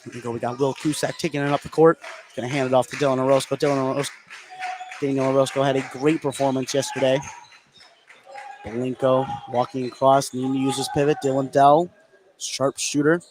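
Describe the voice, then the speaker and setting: A man doing live radio play-by-play of a basketball game, getting excitable on big plays. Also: medium pitch, fairly quick, noisy background. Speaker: play-by-play commentator.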